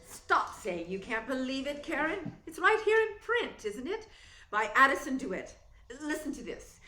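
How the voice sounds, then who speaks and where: A woman's voice: high-pitched, sing-song, normal speed, bright, forceful tone, heavily acted. A woman playing a mature, theatrical stage star in a radio play, with a sardonic, biting manner. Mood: scornful, indignant. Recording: roomy echo.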